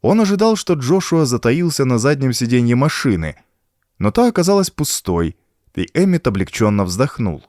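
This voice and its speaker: A man reading a horror story as an audiobook narrator, with expressive, suspenseful delivery. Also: mid-range voice, moderate pace, no echo, studio quality.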